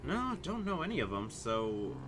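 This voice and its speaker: A man's voice: a little high, big pitch swings, normal speed. A man giving casual commentary as he plays through a story game. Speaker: game streamer. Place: indoors, voice over game audio. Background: game music.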